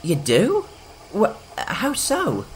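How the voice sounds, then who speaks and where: A woman's voice: mid-range voice, huge pitch swings, very slow, a little hiss or hum, exaggerated voice. A woman voicing an earnest, troubled young male tank engine in an audio drama, stammering and hesitant. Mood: bewildered, anxious.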